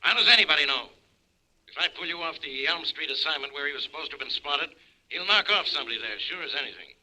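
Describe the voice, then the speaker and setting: An actor playing a brusque, harried homicide inspector in an old-time radio drama, gruff and commanding. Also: male voice, a little high, expressive, fast, sharp tone, poor audio. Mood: exasperated, commanding.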